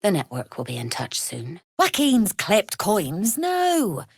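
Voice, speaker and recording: A woman's voice: mid-range voice, wildly swooping pitch, slow pace, vocal fry, husky, no room sound, studio quality, full character voice. A woman playing a shrewd, mercenary crime boss in a video game, wry and self-assured with cool menace. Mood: cool, sly. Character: shrewd, mercenary female Zhentarim smuggler boss, threatening and wry. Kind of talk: video game character voice lines.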